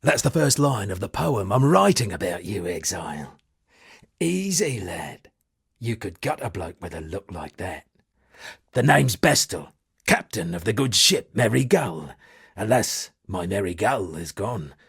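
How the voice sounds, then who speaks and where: An actor playing an eccentric shipwrecked sea captain and pompous amateur poet, speaking florid, dramatic game dialogue in a gravelly, husky voice. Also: male voice, lowish voice, big pitch swings, a little slow, a bit bright, dry recording, studio quality, heavily acted. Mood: theatrical, rueful. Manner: florid, dramatic, alliterative declaiming. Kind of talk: theatrical video game character dialogue.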